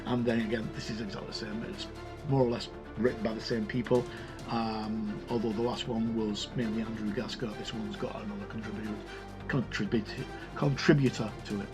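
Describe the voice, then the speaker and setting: A man's voice: fairly low pitch, level tone, a little fast. Man reviewing casually over background music. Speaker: video reviewer. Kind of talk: casual tabletop game product review.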